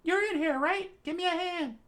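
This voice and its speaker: A woman voicing an urgent young female fighter in a much higher voice. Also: moderate pace, fairly bright tone.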